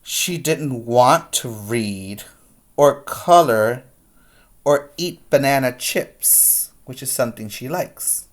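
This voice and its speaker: A teacher reads a children's story aloud at a slow, deliberate pace. The story words get strong emphasis, and simple explanatory asides are spoken to young listeners. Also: mid-range voice, expressive.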